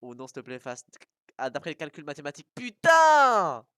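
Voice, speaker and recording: A woman's voice: deep voice, wildly swooping pitch, normal speed, fairly bright tone, no room sound, studio quality. A young woman in casual group banter over a cartoon tier list, slangy and argumentative, with plenty of swearing.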